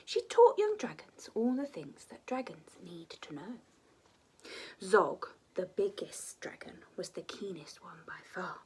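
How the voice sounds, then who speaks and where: A woman reading a children's story expressively, often dropping to a hushed, breathy delivery. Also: lowish voice, wildly swooping pitch, measured pace.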